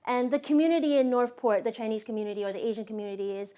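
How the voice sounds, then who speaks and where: Woman guest on a talk show, kinda high voice, earnest, lots of right exactly. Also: fairly even intonation, rapid-fire, dark tone. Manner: agreeable, often says "right, exactly", explains earnestly. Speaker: guest, language school co-founder. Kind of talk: casual interview conversation.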